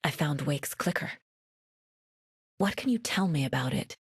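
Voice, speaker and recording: An actress playing a determined, sharp and guarded detective and mother in a video game, with naturalistic delivery. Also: deep voice, expressive, measured pace, breathy edge, dry recording, studio quality, exaggerated voice. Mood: focused.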